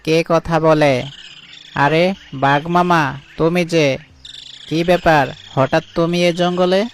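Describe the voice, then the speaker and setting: An actor playing a friendly, hardworking jackal who keeps an orchard, in a chatty, eager cartoon style. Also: male voice, a little high, fairly even intonation, slow pace, slight background noise. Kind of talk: cartoon voice acting.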